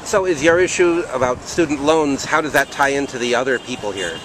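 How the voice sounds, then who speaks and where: A man interviewing protesters on the street, asking brief, calm questions. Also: medium pitch, a little fast. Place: outdoors on a city plaza by traffic. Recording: outdoor street noise.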